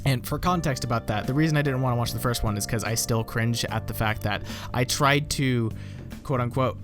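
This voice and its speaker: A man's voice: mid-range voice, brisk, over music. A young American man, a YouTuber, rambling and self-deprecating as he reacts to his old videos, swearing casually. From United States.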